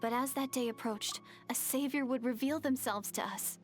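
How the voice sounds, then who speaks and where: A shy young village girl from a fantasy game, voiced by a woman, gentle and earnest. She speaks softly with small hesitations in a fairly high voice, over faint game music. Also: moderate pace, lightly acted. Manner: soft-spoken, hesitant and bashful. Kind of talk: game dialogue.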